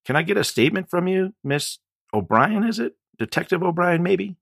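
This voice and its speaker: A man's voice: high-pitched, lively intonation, moderate pace, vocal fry, a bit bright, no echo, clean studio sound, voice pushed up, heavily acted. A man reading the part of a brash, sardonic male journalist in an audiobook, wry and challenging. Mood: pushy, wry. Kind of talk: audiobook character dialogue.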